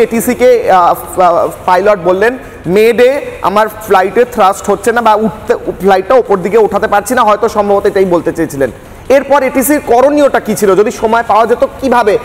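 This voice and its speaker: A man interviewing for the news, respectful toward his guest and repeating points for emphasis. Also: sits high. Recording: echoey hall with background noise.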